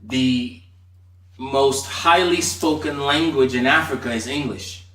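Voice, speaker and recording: A man from Nigeria whose first language is Igbo talks as a podcast guest in a roomy, echoing sound, some way from the mic. He has a middling pitch and tells personal stories in a casual, talkative, emphatic way. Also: slow pace, sharp tone, slight background noise. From Nigeria, moved to the US aged eight. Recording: roomy echo, distant from mic.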